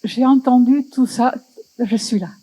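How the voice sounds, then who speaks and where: A woman's voice: a little high, a little slow, warm tone, breathy edge, low-quality recording. A woman in the audience speaks passionately about language, often searching for her words. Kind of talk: festival panel discussion.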